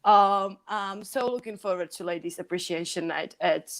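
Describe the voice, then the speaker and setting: A woman whose first language is Czech talks as a co-host in an easy, informal chat. Her voice sits at a middling pitch. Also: normal speed, fairly bright tone, rough recording. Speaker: show co-host. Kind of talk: casual chat.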